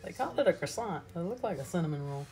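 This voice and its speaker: A woman's voice: fairly low pitch, lively intonation, very fast, a little mellow. A woman in her thirties filming a casual food review vlog on a phone at arm's length at home, chatting and describing each bite between mouthfuls. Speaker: food vlogger. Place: indoors at home, small room. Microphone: phone held at arm's length.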